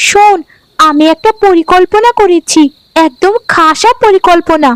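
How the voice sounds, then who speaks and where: A woman's voice: very high voice, a little slow. A woman voicing a cruel, scheming young sister-in-law in a fairy-tale cartoon, with a sneering, menacing manner. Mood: scheming, impatient. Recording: background music under the voice.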